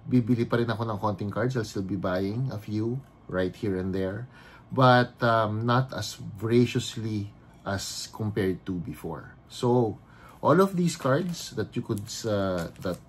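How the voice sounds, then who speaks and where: A Filipino man in his fifties talks at an easy pace with plenty of pauses and fillers, slipping Tagalog phrases into his English. His voice sits fairly low, and a faint hum of room ambience is behind him. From Philippines. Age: 50s. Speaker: vlogger and card collector. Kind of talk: casual vlog talk.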